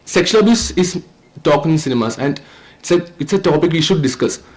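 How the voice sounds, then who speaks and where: A nineteen-year-old man with an Indian accent gives an earnest, halting, informal thank-you speech as a student filmmaker. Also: fairly high pitch, animated. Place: event stage at a film festival.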